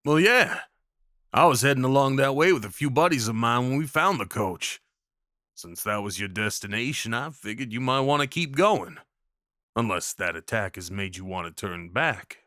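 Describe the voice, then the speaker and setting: A man's voice: medium pitch, lively intonation, normal speed, sharp tone, dry recording, studio quality. A man playing a rugged, kindly cowboy of the Old West in a roleplay audio drama, with a folksy, reassuring drawl. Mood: friendly, amused.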